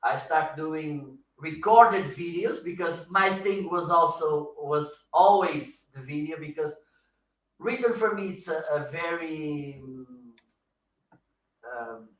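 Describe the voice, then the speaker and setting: A Portuguese man with a Portuguese accent, a guest chatting on a live stream in his second language. He talks haltingly, searches for words and laughs often. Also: fairly high pitch, far from the mic. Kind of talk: casual live-stream chat. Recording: roomy echo, slightly off-mic.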